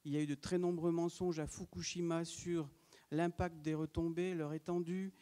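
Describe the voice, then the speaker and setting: This is a man, speaking to a conference audience. He presses his points hard and with emphasis. His voice is higher than most men's and sounds dark. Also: flat delivery, normal speed. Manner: insistent, emphatic. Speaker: panel speaker from a radiation monitoring group. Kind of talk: public talk in a debate.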